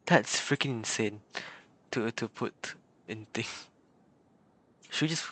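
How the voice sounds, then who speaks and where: A woman streaming a game, giving casual, halting commentary as she thinks aloud. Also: very deep voice, fairly bright tone.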